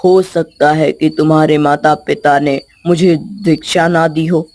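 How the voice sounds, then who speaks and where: A woman's voice: low-pitched, fairly even intonation, unhurried, darkish tone, pitched below the performer's own voice. A woman voicing a stern, fearsome old male holy man in a Hindi storybook tale, grave and talking down to a child. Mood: skeptical. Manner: grave, challenging, speaks down to a child.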